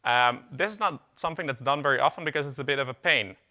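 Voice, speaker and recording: Young dutch guy doing a tech talk, bright tone, casual, lots of uhs and dry jokes. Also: mid-range voice, fast talker. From Netherlands. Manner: casual, filler-heavy, wry asides. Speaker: conference speaker. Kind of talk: technical conference talk. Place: conference hall.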